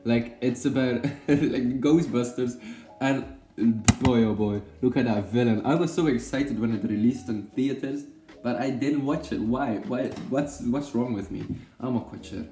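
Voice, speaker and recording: A man's voice: medium pitch, a little slow, dark tone, roomy sound, soft background music. Rambling Belgian man talking films. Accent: Belgian Dutch. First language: Dutch. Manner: rambling, many fillers and self-corrections, joking. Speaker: film vlogger. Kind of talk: casual chat about films.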